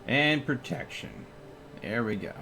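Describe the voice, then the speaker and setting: A man's voice: medium pitch, lively intonation, measured pace, creaky, noisy background. A man giving casual live gameplay commentary as a streamer, thinking aloud in short, sometimes repeated phrases. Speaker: video game streamer.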